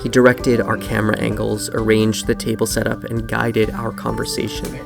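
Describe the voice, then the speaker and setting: A man from the American South hosting and narrating a food documentary in a measured, earnest way. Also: fairly low pitch, monotone, vocal fry. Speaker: host and narrator. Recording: narration under loud music.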